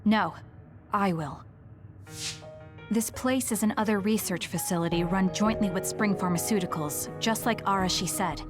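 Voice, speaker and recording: A gentle, composed young officer acted by a woman in a medium-pitched voice. The delivery is calm and measured anime game acting, with faint music behind it. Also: natural voice. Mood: grave, explanatory.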